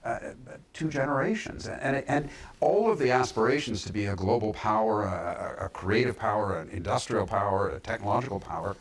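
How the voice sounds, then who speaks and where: A man speaking as a pundit in a political discussion, emphatic but halting, often repeating words as he builds his point. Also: on the low side, lively intonation. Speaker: panel pundit.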